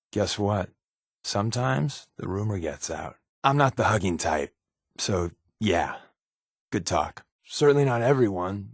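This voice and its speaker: An actor with an American accent playing a wry, easygoing undercover resistance spy in a video game, talking in a conversational, sardonic way. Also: male voice, lowish voice, no room sound, clean studio sound. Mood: dry, casual, then grave. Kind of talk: video game character voice lines.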